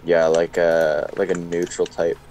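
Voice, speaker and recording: Deep-voiced young man, casual chat. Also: completely flat delivery, measured pace, darkish tone, soft background music. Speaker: co-player chatting on a game stream.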